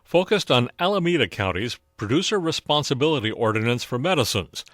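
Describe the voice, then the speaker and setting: An American man delivers scripted radio news as a reporter. His voice sits at an ordinary male pitch, recorded very dry with no room around it. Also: lively intonation, a little fast, a bit bright, dry recording.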